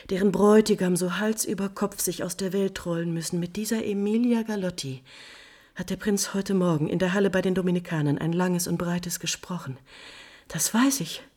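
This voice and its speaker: Actress as proud scorned countess, bitter mockery, husky voice, feverish agitation, whispers and outcries, vintage radio drama. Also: female voice, lowish voice, warm tone, close to an everyday voice. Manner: theatrical, swinging from whispers to outcries. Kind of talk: 1950s German radio drama acting.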